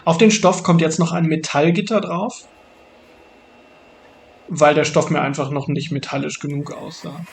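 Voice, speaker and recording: A man narrates a craft build in a casual, explanatory way. His voice sits a little high and has a pronounced creaky fry. There is clear noise in the recording. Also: steady tone, moderate pace, long pauses. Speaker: maker narrating a build video.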